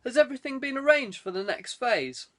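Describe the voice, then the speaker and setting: A man's voice: very high voice, big pitch swings, fairly quick, a bit bright, pitched above the performer's own voice, heavily acted. A man voicing an angry, menacing lord and crime boss in an audiobook, commanding and contemptuous. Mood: cold, commanding. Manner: commanding, contemptuous, bellowing when angered. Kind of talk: audiobook character dialogue.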